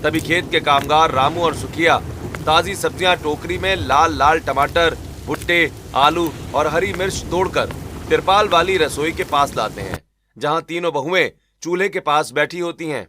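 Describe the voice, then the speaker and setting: A man narrating a scripted cartoon story in an expressive storytelling way, with music behind him. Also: a little high, normal speed, background noise. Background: background music.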